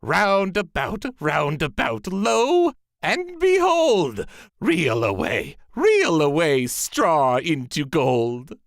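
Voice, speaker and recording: A man's voice: fairly high pitch, big pitch swings, extremely slow, no echo, studio quality, pitched above the performer's own voice, full character voice. A man voicing a merry, sly little dwarf in a storybook, with a theatrical, singsong delivery. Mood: merry chanting. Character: little male dwarf, merry, sly bargaining magical creature. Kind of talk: storybook character voice.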